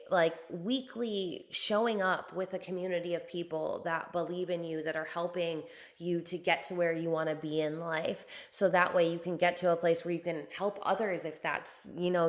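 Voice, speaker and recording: Low-voiced enthusiastic woman, slightly raspy. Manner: enthusiastic, filler-heavy, swears casually. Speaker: podcast host and spiritual coach. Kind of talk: casual monologue promoting a course.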